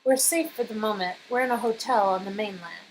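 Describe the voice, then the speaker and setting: A woman voicing an earnest, calm female companion in a game, in a plain, measured, matter-of-fact way. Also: medium pitch, faint music behind, lightly acted, rough recording. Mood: calm, grave.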